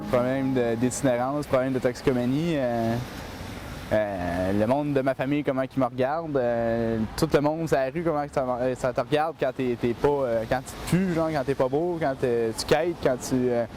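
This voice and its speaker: Halting young Québécois man, street noise. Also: mid-range voice, darkish tone. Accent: Québécois French. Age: 20s. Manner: halting, slangy. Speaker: former school dropout. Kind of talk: interview testimony.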